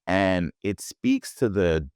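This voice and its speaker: A man's voice: low voice, wildly swooping pitch, slow, a little mellow, clear, resonant tone, no room sound, clean studio sound. A man from Jamaica, a guest on a podcast, talking in a reflective way with frequent restarts. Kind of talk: conversational interview.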